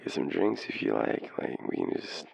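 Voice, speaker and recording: A man acting a very deep, gravelly tipsy Australian. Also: big pitch swings, a little mellow, dry recording, full character voice. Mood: shy, playful. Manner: hesitant, rambling, halting, breathy chuckles. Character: tipsy, shy, lovestruck young man phoning his crush. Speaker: voice actor in boyfriend roleplay. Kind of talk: intimate audio roleplay, one-sided phone call.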